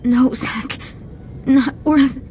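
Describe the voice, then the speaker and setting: An actress playing a sick, stubborn rebel wife in a video game, strained and defiant. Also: female voice, sits high, steady tone, extremely slow, warm tone, a little hiss or hum. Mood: weak pleading. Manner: strained, resistant, emotional. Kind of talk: video game dialogue acting.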